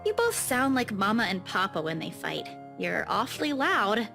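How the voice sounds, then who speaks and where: A woman's voice: medium pitch, big pitch swings, normal speed, creaky, over music. An actress playing a feisty young woman in a visual novel, teasing and defensive. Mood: scolding. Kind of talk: visual novel game voice acting.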